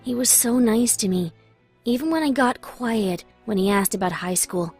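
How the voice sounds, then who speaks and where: A woman's voice: on the high side, expressive, moderate pace, darkish tone, breathy edge, faint music behind. A young woman reading dramatic scripted first-person narration in an emotive, confessional manner.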